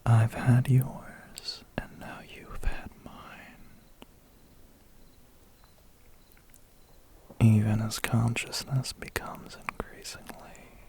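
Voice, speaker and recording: A man's voice: mid-range voice, flat delivery, slow, gravelly. A man playing a seductive, predatory vampire biker in an ASMR roleplay, intimate, teasing and theatrical. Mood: dark, coaxing. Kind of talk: whispered ASMR roleplay acting.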